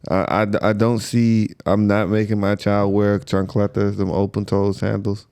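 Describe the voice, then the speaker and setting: A man's voice: lowish voice, little pitch movement, moderate pace, gravelly, a little mellow, clean tone, no room sound. A man with an American accent, chatting casually as a podcast host in an informal, colloquial, musing way. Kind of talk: casual conversation.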